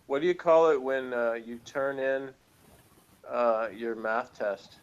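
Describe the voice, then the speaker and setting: A man's voice: mid-range voice, steady tone, takes it slowly, clean tone, muffled recording. A man co-hosting in casual, joking banter with a teasing manner. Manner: jokey, teasing. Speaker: podcast co-host.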